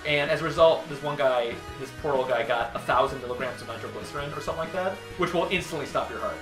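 Young man, fast, rambling co-host with casual swearing, roomy sound with game music underneath. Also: medium pitch. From Wisconsin, USA. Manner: rambling storyteller, swears freely, restarts often. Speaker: co-host of a gaming show. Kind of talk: casual commentary chat over gameplay.

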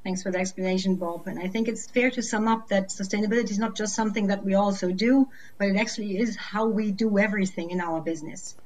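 A woman's voice: mid-range voice, fairly even intonation, quick pace, hardly any pauses, a little mellow, slight background noise, rough recording. Young woman moderating a webinar. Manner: friendly, scripted moderator questions. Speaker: webinar host.

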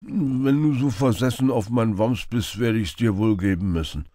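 A man's voice: medium pitch, normal speed, warm tone, no room sound, studio quality, pitched above the performer's own voice, close to an everyday voice. A man voicing a smug, boastful male beggar in a storybook reading. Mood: grudging, crafty.

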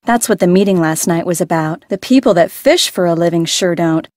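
An actress playing a frank, stubborn adult boat owner in a video game, talking in a matter-of-fact way. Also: female voice, lowish voice, a little fast, darkish tone, dry recording, studio quality, close to an everyday voice. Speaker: game voice actor.

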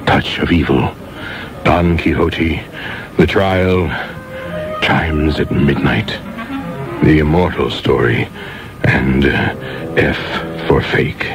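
An actor playing a grand, brooding older film director, weighty, deliberate and proud, in a radio drama. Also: male voice, very deep voice, vocal fry, heavily acted. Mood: grand, weary. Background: music.

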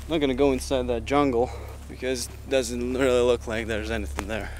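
Young dude vlogging on foot outside, kinda jittery, sweary, bit of background noise on a handheld cam. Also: male voice, mid-range voice, flat delivery. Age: young adult. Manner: uneasy, halting, swearing, talking while walking. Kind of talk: casual solo exploration vlog. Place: outdoors in woods, walking. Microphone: handheld camera at arm's length. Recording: noisy outdoor handheld recording.

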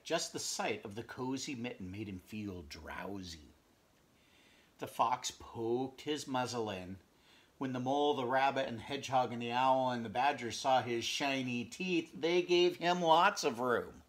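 A middle-aged man reading a picture book aloud at home, expressive, pausing to chat about the pictures and dropping into whispers. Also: mid-range voice. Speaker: read-aloud storyteller. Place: home room, indoors.

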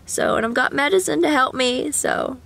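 Young woman vlogger, a bit sick with a cough, gravelly fry, rambling chat to a handheld camera in her car. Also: sits high, expressive, moderate pace, a bit bright. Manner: rambling, chatty, with sing-song asides. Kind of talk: casual chat. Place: parked car. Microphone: camera at arm's length.

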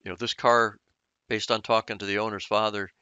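A man's voice: on the low side, level tone, fairly quick, sharp tone, clean tone, no room sound, lo-fi sound. A man explaining engine diagrams in an unscripted voice-over, thoughtful and hesitant with many fillers and restarts. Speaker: channel host explaining engine repair.

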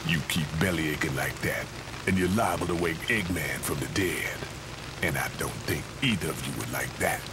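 A man playing a gruff, unflappable underworld barkeeper in a video game, with a wry, folksy tough-guy way of talking. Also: extremely low pitch, normal speed. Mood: wry, threatening. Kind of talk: video game cutscene acting. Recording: game audio under loud music.

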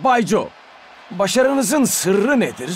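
A man's voice: high voice, very animated, takes it slowly, a little hiss or hum, full character voice. A man playing an eager cartoon reporter who presses for answers. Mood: eager, probing.

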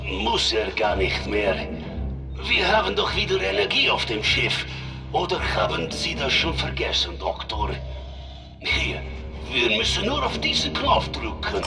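Man playing a hearty, gravelly space crewman, wildly animated. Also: medium pitch, measured pace, heavily acted. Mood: wary. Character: burly, jovial, brave space crewman. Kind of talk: German sci-fi horror radio drama acting. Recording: loud music behind the voice.

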